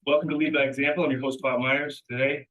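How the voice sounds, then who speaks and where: A man's voice: medium pitch, level tone, fast, a bit bright, roomy sound, rough recording. A man hosting an interview, asking curious, conversational questions and often repeating words. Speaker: podcast host.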